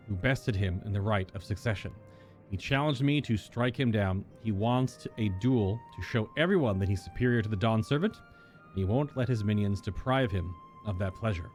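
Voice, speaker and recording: A man's voice: fairly low pitch, moderate pace, darkish tone, close to an everyday voice. Man reading as an earnest, resolute young royal. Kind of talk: acted reading of video game dialogue. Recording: game music under the voice.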